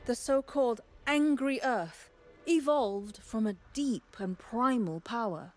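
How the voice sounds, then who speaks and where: A thoughtful, nature-loving alchemist in a fantasy game. Her voice is on the high side, expressive and reflective as she explains, with music playing faintly behind. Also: takes it slowly. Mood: earnest, reflective. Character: eager, thoughtful female alchemist, devoted to nature. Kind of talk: scripted game dialogue.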